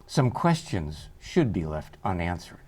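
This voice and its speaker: An actor playing a sly, unsettling doctor under questioning, theatrical and mockingly polite, at a live table read. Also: male voice, sits low, big pitch swings, measured pace, a little mellow, full character voice. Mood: smug, cryptic.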